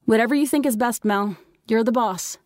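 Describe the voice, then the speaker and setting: A woman's voice: on the high side, normal speed, a little mellow, no echo, clean studio sound, pitched above the performer's own voice, lightly acted. A woman voicing a protective, besieged mother who urgently calls out orders to her children in an audiobook. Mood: tender, resolute. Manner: raised, insistent, ordering. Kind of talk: audiobook character dialogue.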